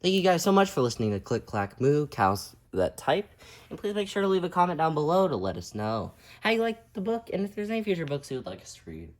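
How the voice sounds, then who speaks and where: A man's voice: fairly high pitch, very animated, quick pace, slight background noise. A man hosting a children's read-aloud, reading expressively and speaking in an encouraging way. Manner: encouraging, addressing listeners as friends.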